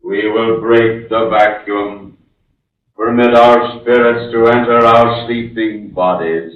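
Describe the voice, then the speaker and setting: An actor playing a menacing, proud ancient emperor spirit in 1940s radio horror style, commanding, formal and deliberate. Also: male voice, fairly low pitch, steady tone. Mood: grave, commanding. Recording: dull old 1940s radio recording.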